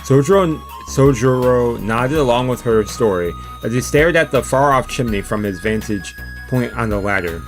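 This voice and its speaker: Streamer guy reading a visual novel out loud, slangy side comments, mid voice, faint music behind. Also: mid-range voice, expressive, normal speed, few pauses. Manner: informal, slangy, reacts in asides. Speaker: let's play streamer.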